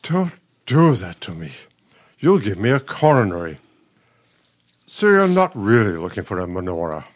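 An actor playing a smug, exasperated but caring elderly husband, a retired dean, in a radio play, speaking in a dry, testy way. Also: male voice, lowish voice, big pitch swings, normal speed, long pauses. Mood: dry, patient.

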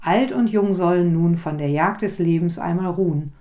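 A woman's voice: fairly low pitch, normal speed, warm tone, lightly acted. A woman voicing the Christ Child in a recited poem, calling out urgently. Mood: urging. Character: bright heavenly child calling out.

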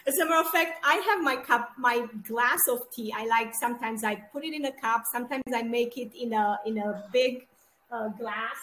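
A woman's voice: a little high, lively intonation, moderate pace, a bit bright, slight background noise. A woman from Romania, co-hosting a casual interview over a video call and laughing often. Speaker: podcast co-host.

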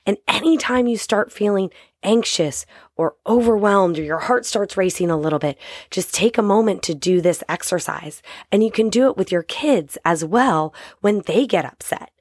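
A woman in her thirties hosting a short motivational podcast, hoarse and raspy from a cold, talking straight to her listeners in an encouraging way. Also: medium pitch, lively intonation, normal speed, dry recording, studio quality. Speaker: podcast host.

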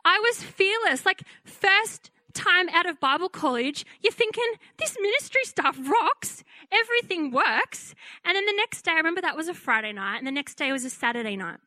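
A woman with a fairly high-pitched voice telling a lively personal testimony as a preacher, acting out the voices she quotes. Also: normal speed, dry recording, clean studio sound. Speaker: pastor. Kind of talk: testimony sermon.

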